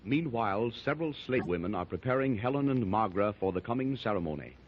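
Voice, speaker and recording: A man giving even, descriptive scripted narration for a 1930s radio serial. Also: on the low side, fast talker. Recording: dull old radio recording.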